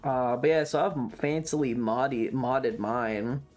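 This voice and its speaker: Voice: medium pitch, steady tone, a little slow, darkish tone, soft background music. A streamer chatting casually and opinionatedly on a livestream, rambling and repeating points, with some casual swearing. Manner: casually profane. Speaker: variety streamer.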